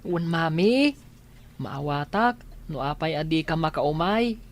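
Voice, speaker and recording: A woman's voice: sits low, animated, unhurried. A woman voicing a young schoolboy, a dutiful son in a radio drama. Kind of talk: Ilocano radio drama acting.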